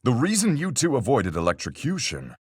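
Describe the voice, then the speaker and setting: A man voicing a proud, blunt seafaring character in a video game, with gruff, hearty sailor talk. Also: mid-range voice, wildly swooping pitch, brisk, dry recording, clean studio sound. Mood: confident, explanatory. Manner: hearty sailor talk with aye, lass, mate. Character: boastful, proud seafaring man, blunt but honest, in murder trial.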